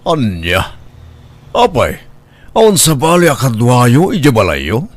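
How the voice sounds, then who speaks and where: A benevolent male employer played by a man talks in a medium-pitched voice with an Ilocano accent. He is concerned and reassuring, recorded close and dry for an audio drama. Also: wildly swooping pitch, slow, no room sound, exaggerated voice.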